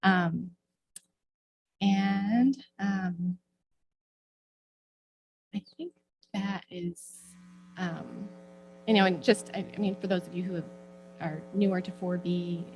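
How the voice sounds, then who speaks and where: A middle-aged woman giving informal remarks at a town meeting, halting and hedging as she searches for words. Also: fairly low pitch, fairly even intonation, highs cut off. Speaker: former board member giving public comments. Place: indoor local government meeting.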